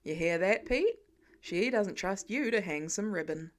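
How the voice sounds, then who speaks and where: A woman's voice: mid-range voice, lively intonation, unhurried, pitched below the performer's own voice, natural voice. A woman voicing a protective, bantering husband and father in a story, dry, insistent and teasing.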